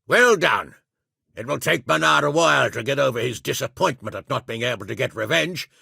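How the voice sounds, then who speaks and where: A man voicing a gruff, blunt, hot-tempered medieval lord and military leader in a video game, with a raspy, commanding delivery. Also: mid-range voice, animated, a little fast, pushed and bright, no echo, studio quality, exaggerated voice. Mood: gruff approval. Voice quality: gravelly, raspy. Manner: blunt, commanding, coarse-tongued. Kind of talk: scripted game dialogue acting.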